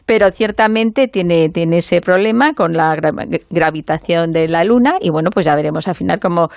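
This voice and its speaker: Laughing Spanish woman, low voice. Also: normal speed, a little mellow, no room sound, studio quality. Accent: Spain Spanish. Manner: chatty, laughing storyteller. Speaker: radio contributor. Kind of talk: casual chat.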